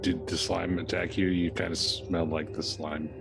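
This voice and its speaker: A man's voice: sits low, flat delivery, moderate pace, gravelly, music in the background. A man playing a tabletop game, casually saying what his character does.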